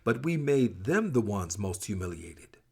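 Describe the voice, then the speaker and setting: A man reads scripture aloud in a fairly low, husky voice. His delivery is solemn and dramatic, measured yet expressive, with formal, archaic phrasing. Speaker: scripture reader.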